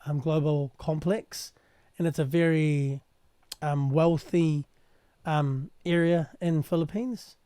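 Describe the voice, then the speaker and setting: A man's voice: fairly high pitch, steady tone, mellow, smooth, no echo. Hesitant New man, dry sound. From New Zealand. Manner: hesitant, halting, many pauses. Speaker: translator and commentator. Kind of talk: casual explainer commentary.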